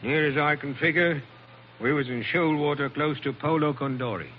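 An actor playing a rough, plain-spoken sailor with colloquial grammar in a 1940s radio drama. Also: male voice, fairly high pitch, normal speed, natural voice. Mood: matter-of-fact recounting. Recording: muffled vintage radio recording, faint noise.

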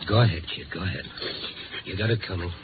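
An American actor playing a tough, wry newspaper reporter in a 1950s radio drama, slangy and protective, sometimes narrating in noir style. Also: male voice, deep voice, fast, very noisy, lightly acted. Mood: reassuring, gentle. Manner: hard-boiled, slangy. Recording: tinny old 1950s radio recording, music behind.

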